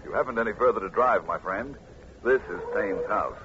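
A man acting a cool, determined detective in an old radio drama, with measured, menacing, dryly confident delivery. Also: fairly low pitch, close to an everyday voice. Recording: muffled, noisy old radio recording.

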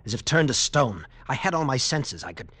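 A man's voice: mid-range voice, big pitch swings, brisk, bright, forceful tone, breathy edge, no echo, exaggerated voice. A man acting in a 1940s radio drama as a tough lieutenant recounting a frightening ordeal in an earnest, intense way. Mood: earnest, unsettled. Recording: noisy vintage radio recording.

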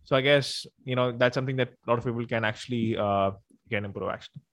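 A man hosting a podcast interview, talking in a rushed, halting way with many restarts. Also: mid-range voice, fairly even intonation, a bit bright. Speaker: podcast host. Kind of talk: casual interview chat.

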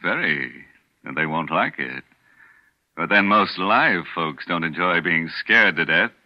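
A man's voice: deep voice, animated, a little slow. A man hosting a 1940s radio horror show, with sardonic, macabre humor. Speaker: host. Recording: dull old radio broadcast with music.

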